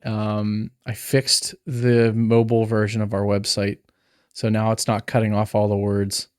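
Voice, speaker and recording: A man's voice: on the low side, monotone, measured pace, darkish tone, clear, resonant tone, dry recording, clean studio sound. A man co-hosting a podcast, chatting casually and rambling with plenty of fillers. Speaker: podcast co-host. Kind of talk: casual chat.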